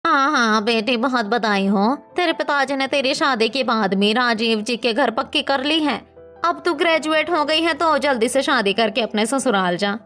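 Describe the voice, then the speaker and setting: A woman voicing a brisk, conventional mother of a grown daughter in a cartoon story. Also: high voice, animated, fairly bright tone, soft background music, pitched below the performer's own voice. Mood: pleased, insistent. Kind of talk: cartoon moral story acting.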